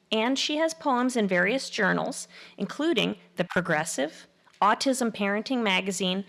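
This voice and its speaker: A woman hosts the evening and introduces each poet in a formal, announcing manner. Her voice carries a clear gravelly fry. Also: mid-range voice, a bit bright. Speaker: event host. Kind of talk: introducing speakers.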